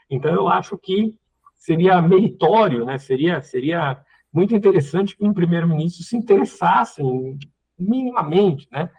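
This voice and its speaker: A man's voice: high voice, animated, a little slow, a little mellow, breathy edge, rough recording. A Brazilian man giving unscripted political commentary as a panel guest, often repeating words as he searches for phrasing. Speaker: panel guest, community representative. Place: online live call.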